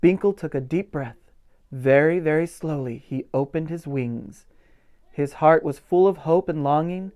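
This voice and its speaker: A man reading a picture book aloud as a pastor at storytime, with gentle expression and simple asides for young children. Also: a little high, unhurried, muffled recording. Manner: gentle, expressive, stretches key words for emphasis.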